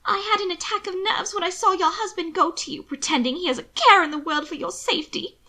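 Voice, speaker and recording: A woman voicing a frightened, suspicious sister in an audiobook, breathy and thick with tears, speaking insistently. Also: high-pitched, lively intonation, moderate pace, pushed and bright, voice pushed up. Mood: tearful, frightened. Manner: agitated, insistent, emphatic. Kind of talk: audiobook character dialogue.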